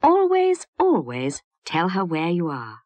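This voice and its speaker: A woman's voice: on the low side, huge pitch swings, measured pace, warm tone, no room sound. A woman narrating scripted advice to children in an instructive, emphatic way. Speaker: narrator of a children's safety film.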